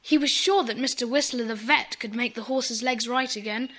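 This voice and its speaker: A woman's voice: a little high, moderate pace, a bit bright. A woman playing an earnest, kind-hearted schoolboy in a children's audio drama. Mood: worried. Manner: earnest, explanatory.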